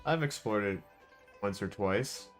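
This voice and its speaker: A man's voice: fairly low pitch, unhurried. A man livestreaming a video game with casual, playful, laid-back commentary. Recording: game music under the voice. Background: game music.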